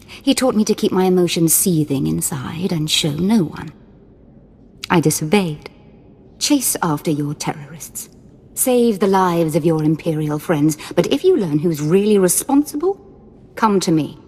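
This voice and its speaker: A cruel young Sith noblewoman taunts in a theatrical sing-song for a game cutscene. Her voice is rather low and breathy, with a long reverb hanging behind it. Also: lively intonation, a little slow, a little mellow, exaggerated voice. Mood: cold. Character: playful cruel young female Sith lord.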